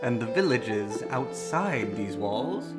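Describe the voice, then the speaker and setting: An actor playing a haughty, commanding prince in an audio drama, with deliberate theatrical delivery. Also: male voice, mid-range voice, big pitch swings. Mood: imperious, affronted. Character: imperious, haughty prince ruling a stricken realm. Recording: music behind the voice.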